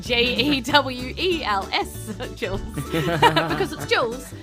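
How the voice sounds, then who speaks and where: Woman, New Zealand accent, highish pitch, casual game-master host chat, giggly, music behind. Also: very animated, slow. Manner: chatty, giggly. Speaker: podcast host and dungeon master. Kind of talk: casual podcast outro chat.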